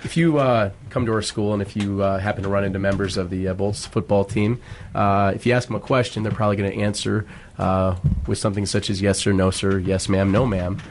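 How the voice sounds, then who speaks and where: Low-voiced American man, a football coach. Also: fairly even intonation, fairly quick, hardly any pauses, a little hiss or hum. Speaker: school football coach being interviewed. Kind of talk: conversational interview answers. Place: radio studio.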